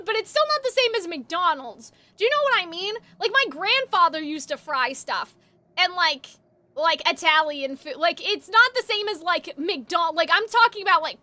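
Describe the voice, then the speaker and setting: Very high-pitched, bright woman streamer. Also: dry recording. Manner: animated, exclamatory, frequent filler "like" and swearing. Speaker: game streamer. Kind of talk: casual livestream reaction chat. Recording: game music behind the voice. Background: video game music and dialogue.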